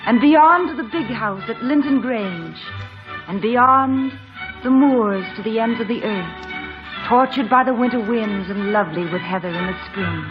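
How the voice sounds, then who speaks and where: A woman's voice: a little high, expressive, a little slow. An actress playing a wistful woman recalling her moorland childhood in dreamy, dramatic radio drama narration. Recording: dull old radio broadcast with music.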